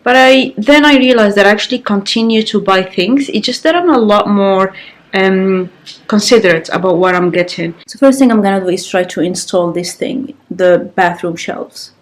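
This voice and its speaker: A woman in her thirties, slightly raspy, chatting casually to camera at home with many ums and restarts. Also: medium pitch, moderate pace, reverberant. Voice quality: raspy in the morning. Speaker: vlogger. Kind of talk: casual vlog chat to camera. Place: sunlit living room at home, indoors. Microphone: compact camera on-board mic.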